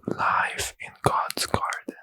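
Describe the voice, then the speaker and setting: A man reading scripture as a bedtime ASMR story in a breathy, hushed, careful whisper, close to the mic. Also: no room sound. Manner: slow, careful, hushed delivery. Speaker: ASMR reader.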